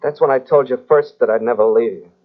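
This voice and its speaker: A man playing a cold, menacing husband back from the dead in an old radio drama, slow and quiet. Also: mid-range voice, close to an everyday voice. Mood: quietly ominous. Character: menacing returned husband, Nicky, cold and calm. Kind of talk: 1940s radio acting. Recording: tinny narrow old radio tape.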